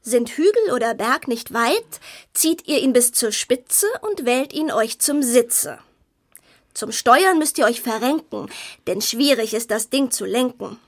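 A woman's voice: fairly high pitch, animated, measured pace. An actress playing a plucky, clever, eager little girl in a children's fairy-tale audio drama, with a keen, girlish manner. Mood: riddling.

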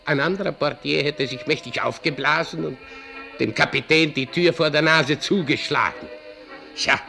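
A man's voice: a little high, normal speed, gravelly, bright, forceful tone, faint music behind. An actor in a German radio drama playing a fussy, self-important old doorman who mutters and rambles contentedly. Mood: proud, musing.

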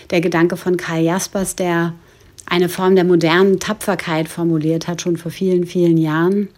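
A woman giving a reflective spoken message in a measured, formal way, in long winding sentences. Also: fairly low pitch, a little mellow. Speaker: guest philosopher sending an audio message.

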